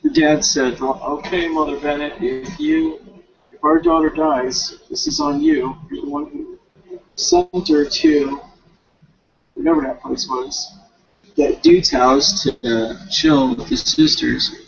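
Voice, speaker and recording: A man giving a casual, rambling recap as a student, talking half to himself in informal, slangy, halting phrases with self-corrections on a dull home recording. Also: on the high side, wildly swooping pitch, gravelly, breathy edge. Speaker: student reading a novel for a class paper.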